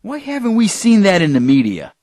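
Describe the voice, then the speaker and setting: Man acting as an anxious, animated station owner. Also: high-pitched, brisk. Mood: doubtful. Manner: fretful, questioning. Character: anxious, doubtful male radio station owner. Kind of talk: audio drama acting.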